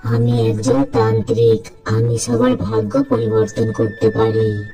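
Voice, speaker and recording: A woman's voice: a bass voice, flat delivery, unhurried, mellow, faint music behind. A woman voicing a greedy, scheming male sorcerer in a cartoon, sly and gloating. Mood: sly, wheedling. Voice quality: gravelly. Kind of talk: cartoon voice acting.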